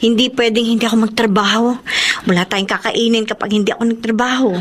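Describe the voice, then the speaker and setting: An actress plays a caring, resolute young woman in a radio drama. Her voice sits a little high, and she sounds earnest and reassuring, like an eldest sister holding the family together. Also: fairly even intonation, fast talker, lightly acted. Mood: brisk, caring. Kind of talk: emotional radio drama acting.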